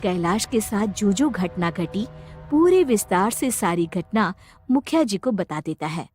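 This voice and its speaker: A woman narrating a scripted horror story with an expressive, dramatic delivery. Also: mid-range voice, measured pace, soft background music.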